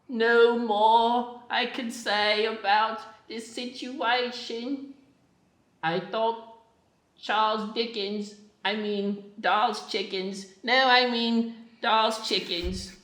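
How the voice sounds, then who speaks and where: A woman playing a muddled, suspicious child who speaks haltingly and trips over words in an improvised radio play. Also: on the high side, fairly even intonation, fairly bright tone. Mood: earnest, muddled. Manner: halting, tongue-tangled. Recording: roomy, echoey voice recording.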